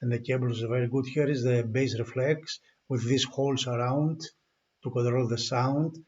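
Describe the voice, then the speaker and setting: Male gear reviewer, unscripted walkthrough, halting delivery, mid pitch, dull lo-fi sound. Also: steady tone, darkish tone. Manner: halting, many fillers and restarts. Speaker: audio product reviewer.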